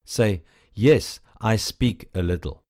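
A man's voice: on the low side, animated, drawn-out words, a little mellow. A man narrating a language course, giving patient scripted prompts and encouragement. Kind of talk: scripted instruction.

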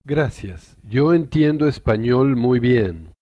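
A man's voice: mid-range voice, lively intonation, dry recording, lo-fi sound. A man modeling short phrases for a language course, speaking each one carefully and then repeating it. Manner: careful, slow model sentences, each said twice.

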